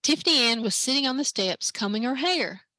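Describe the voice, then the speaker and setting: A woman librarian reading a children's picture book aloud at story time, lively and expressive. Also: sits high, a little fast, dry recording, low-quality recording. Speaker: library branch manager, story time reader.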